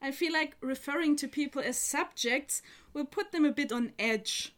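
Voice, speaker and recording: A woman's voice: sits high, normal speed, fairly bright tone. A woman playing a tabletop game, wry and teasing in casual talk. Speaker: player. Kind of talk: casual tabletop roleplay chat.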